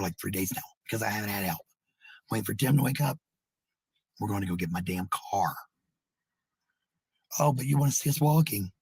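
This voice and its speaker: A man ranting informally in a raspy voice, combative and sweary, repeating points for emphasis. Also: mid-range voice, darkish tone, no echo, rough recording. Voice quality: husky, raspy. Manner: combative, profane, repetitive. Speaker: parent speaking in a clip. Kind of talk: angry informal rant.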